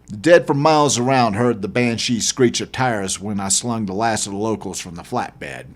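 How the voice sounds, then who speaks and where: A man's voice: lowish voice, moderate pace. A man narrating a first-person novel as its author, in a dramatic audiobook style.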